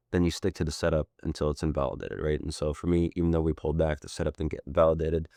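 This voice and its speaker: Deep-voiced male mentor, hoarse and sick, rambling casual walkthrough, close dry sound. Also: a little mellow, dry recording. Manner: loose, rambling, frequent tag questions. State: hoarse, sick and losing his voice. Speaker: trading mentor.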